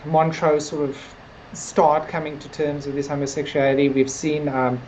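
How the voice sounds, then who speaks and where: A woman's voice: sits low, level tone, moderate pace, darkish tone, dull audio, a little hiss or hum. A woman co-hosting a casual TV review discussion, speaking in a hedging, conversational way with many filler words. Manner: hedging, conversational, frequent "like" and "sort of". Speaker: podcast co-host discussing a TV series.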